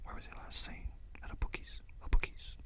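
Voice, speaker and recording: A person whispering a string of crude gangster film lines, switching between many characters in a breathy, unvoiced whisper. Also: fairly quick. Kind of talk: whispered film-scene impressions.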